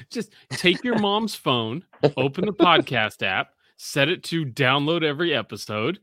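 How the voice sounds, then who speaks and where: Bright-voiced man in his forties, rambling. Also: fairly high pitch, lively intonation, unhurried, no room sound, clean studio sound. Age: 40s. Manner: rambling storyteller, swears freely. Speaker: podcast host. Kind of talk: casual podcast banter.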